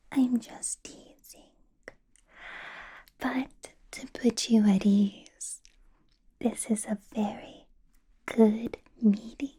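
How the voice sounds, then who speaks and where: A woman's voice: fairly high pitch, steady tone, extremely slow, pauses often, dark tone, breathy edge, full character voice. A woman whispering as a centuries-old female vampire boss, teasing and possessive, in an ASMR roleplay. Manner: whispered throughout, teasing, menacing, playful laughs.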